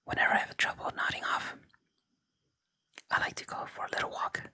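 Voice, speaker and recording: Very bright, fully whispered ASMR gaming host. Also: brisk. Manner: unvoiced, gentle whispering throughout. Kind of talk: whispered ASMR let's play, reading game dialogue aloud.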